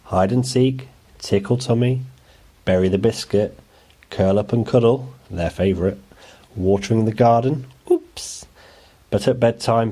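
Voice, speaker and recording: A man's voice: lowish voice, mellow. A man narrating a children's bedtime storybook as the story reader.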